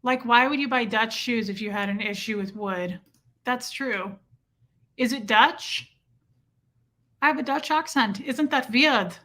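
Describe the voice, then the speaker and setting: Bright chatty woman reading live chat. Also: on the high side, measured pace, long pauses. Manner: chatty, reacts to viewer comments. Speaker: livestream host reading chat. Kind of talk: casual livestream chat. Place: home room with a heater.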